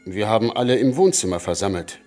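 Low-voiced cold-blooded killer over music. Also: male voice, sing-song, fast talker, a little mellow. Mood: cold, matter-of-fact. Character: cold-blooded male killer recounting his murders. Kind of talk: radio play monologue in testimony form.

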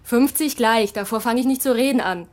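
A woman's voice: on the high side, a little fast, a little mellow, lightly acted. An actress playing a cheeky, mercenary teenage girl witness, offhand and colloquial, in a radio crime drama. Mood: cheeky, bargaining.

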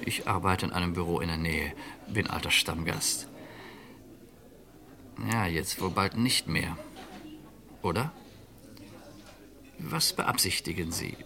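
Cornered office clerk in an old radio play, formal and controlled, crisp bright tone, lively pitch. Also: male voice, slow, pauses often, exaggerated voice. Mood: resigned, cool. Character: cornered, composed male office worker, secret fugitive. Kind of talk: German radio crime play acting.

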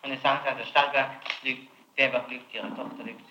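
Male prosecutor, sharp forceful voice, pressing and confrontational interrogation, echoey archival tape. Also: mid-range voice, little pitch movement, a little fast, dull audio. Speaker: prosecutor questioning a suspect.

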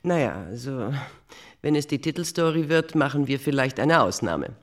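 Imperious, cynical magazine boss, swooping voice. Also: female voice, rumbling low, lively intonation, normal speed. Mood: grudging. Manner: bossy, dismissive, sarcastic. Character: imperious, cynical older female magazine editor-in-chief. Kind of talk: German radio drama acting.

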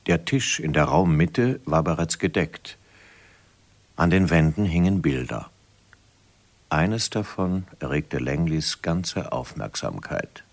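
Man, very deep bass voice, scripted narration, measured, literary, 1970s radio play. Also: lively intonation.

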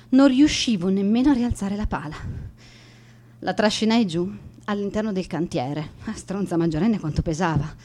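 An actress playing a guilt-ridden, bullied teenage schoolgirl in a radio drama monologue, confessing in a halting, emotional manner. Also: medium pitch, mellow, close to an everyday voice.

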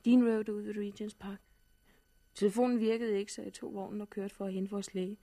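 A woman's voice: medium pitch, level tone, dark tone, close to an everyday voice. An actress playing a frightened, polite young woman who has survived a catastrophe, in a 1960s Danish radio drama. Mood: shaken, confiding. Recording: noisy vintage 1960s radio recording.

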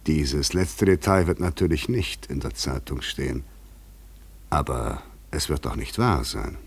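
Radio play: restless, worn-out intruder on the run, low husky voice, probing and rhetorical. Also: male voice, unhurried, full character voice. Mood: provocative, insistent. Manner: articulate, rhetorical, insistent. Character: overwrought, weary, probing male fugitive intruder. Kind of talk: German literary radio play acting.

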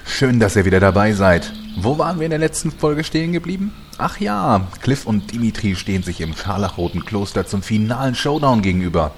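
A man's voice: on the low side, big pitch swings, fairly quick, slight background noise. A man narrating a parody audio drama as a scripted storyteller addressing the listeners.

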